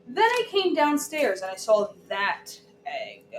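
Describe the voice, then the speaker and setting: A woman teacher reading a children's story aloud, deliberately, pausing to put questions to her listeners. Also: sits high, sing-song. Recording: echoey room with background noise.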